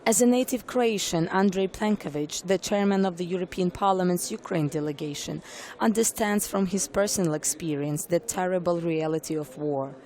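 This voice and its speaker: A woman news reporter giving clear, formal scripted narration. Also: lowish voice, moderate pace, a little mellow.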